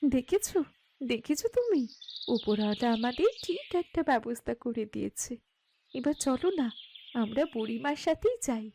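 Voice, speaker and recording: A woman's voice: fairly high pitch, very animated, a little slow, dark tone, a little hiss or hum. A woman voicing a devoted, practical young village wife in a cartoon, speaking affectionately and pleadingly. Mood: excited. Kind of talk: cartoon moral story dialogue.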